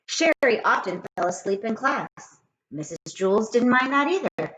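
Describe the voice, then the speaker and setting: A woman reading a children's story aloud in an expressive way, speaking straight to young listeners. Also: a little high, moderate pace. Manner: expressive, addresses children directly. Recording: roomy echo with faint noise.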